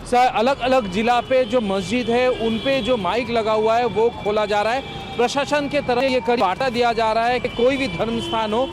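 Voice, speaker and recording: A man's voice: very high voice, fairly even intonation, moderate pace. A man giving a spoken account for a news report.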